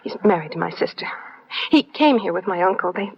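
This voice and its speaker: A woman's voice: medium pitch, animated, brisk, vocal fry, slight background noise. A woman playing a refined, anxious society lady in an old-time radio detective drama, speaking in a genteel, dramatic way. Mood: distraught. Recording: dull, fuzzy vintage radio recording.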